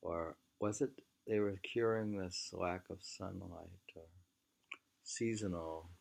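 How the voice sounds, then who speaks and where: An American man reading a literary book aloud in an unhurried, musing manner, often pausing to repeat or mull over phrases. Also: deep voice, steady tone, creaky, a little mellow. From New England, United States. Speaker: reader.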